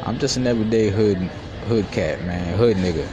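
Brief: young man, very low voice, streetwise and profane, boastful, restaurant crowd chatter behind. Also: animated, brisk, highs cut off. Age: 20s. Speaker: podcast host. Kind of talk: casual solo podcast monologue. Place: fast-food restaurant.

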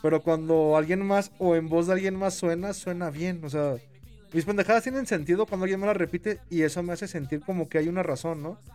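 A man with a Mexican Spanish accent hosting a casual podcast chat, excitable and laughing, swearing freely. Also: sits high, brisk, faint music behind. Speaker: podcast host.

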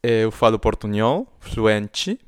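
An Argentine man whose first language is Spanish, co-hosting a podcast in Portuguese with a clear Argentine accent, halting as he searches for words. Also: fairly low pitch, expressive, no echo. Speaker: podcast co-host. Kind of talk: casual chat.

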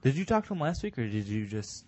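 A man's voice: mid-range voice, very animated, quick pace, darkish tone. A young man co-hosting a casual podcast. He is playful and builds on the jokes. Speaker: podcast co-host.

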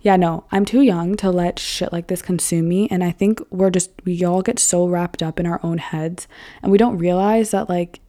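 A woman's voice: on the low side, fairly even intonation, moderate pace, mellow. A woman in her early twenties talking casually and frankly to her viewers, swearing freely and filling her talk with "like.". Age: 20s. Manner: chatty, frank and profane, lots of "like". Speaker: vlogger.

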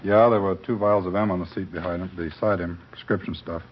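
Man as brisk no-nonsense police captain, old radio drama, deep, fast talker, noisy muffled sound. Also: steady tone, close to an everyday voice. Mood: businesslike. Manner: blunt, businesslike, clipped. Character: brisk, commanding police captain questioning a suspect.

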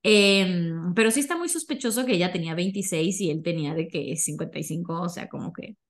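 A woman's voice: on the low side, animated, a little fast. A young woman with a Mexican accent telling stories in a casual, chatty, gossipy way as a podcast host. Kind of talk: casual conversational storytelling.

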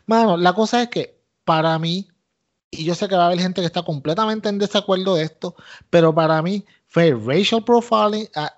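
A Puerto Rican man with a fairly high voice, recorded in dull lo-fi audio. He co-hosts a podcast with emphatic, opinionated commentary, repeating himself for stress and slipping English phrases into casual Spanish. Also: normal speed. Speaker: wrestling podcast co-host.

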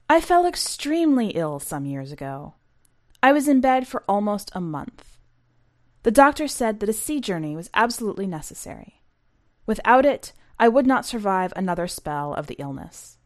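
A woman narrating a short story for a podcast in a measured, precise, scripted audiobook style. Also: medium pitch.

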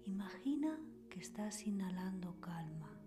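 A woman host leading a scripted guided sleep meditation in a soothing, breathy whisper, with pauses between short phrases. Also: mid-range voice, lively intonation. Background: soft meditation music with singing bowls.

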